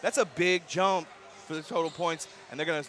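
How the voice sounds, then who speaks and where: A man's voice: fairly high pitch, fairly even intonation, brisk, a bit bright. An American man hosting live pre-game basketball commentary, with gym noise behind him. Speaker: sports broadcast host and commentator. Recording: noisy gym ambience behind the voice.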